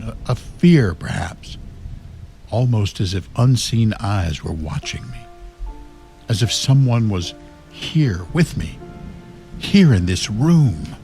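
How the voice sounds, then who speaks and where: Man acting grieving male narrator, low voice, ominous and halting, radio horror drama, music behind. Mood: uneasy dread. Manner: slow, ominous, halting with dread. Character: grieving, uneasy man reading a dead friend's diary.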